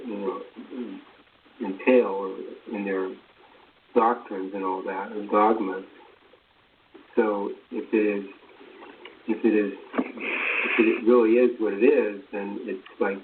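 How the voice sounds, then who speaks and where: A man giving a rambling spiritual talk in a halting, repetitive, earnest manner. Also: lowish voice. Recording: tinny phone line, distant, faint noise.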